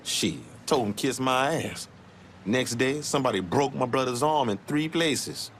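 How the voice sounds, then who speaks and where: A male actor as a proud young boxer in a crime game. His voice is medium-pitched and his talk is loose, streetwise and full of slang. Faint noise sits in the background. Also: a little slow, fairly bright tone, lightly acted. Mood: aggrieved, wary. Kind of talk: dramatic video game acting.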